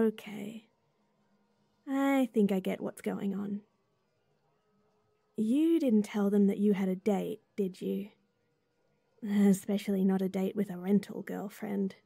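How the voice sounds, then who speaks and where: Casting: sweet, bubbly young girlfriend played by a woman for intimate audio roleplay; mellow, close dry sound, giggly and reassuring. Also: mid-range voice, creaky, no echo, full character voice. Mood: gentle, reassuring.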